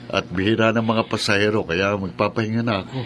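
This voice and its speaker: An actor playing a level-headed, dismissive grown son in a Filipino radio drama. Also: male voice, lowish voice, steady tone, quick pace, a bit bright, natural voice. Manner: dismissive, matter-of-fact.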